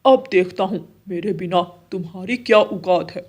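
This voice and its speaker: A woman's voice: fairly low pitch, normal speed, darkish tone, lightly acted. A woman voicing a stern, scolding but fair male pigeon who runs a business in a cartoon. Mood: angry scolding. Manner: firm, admonishing.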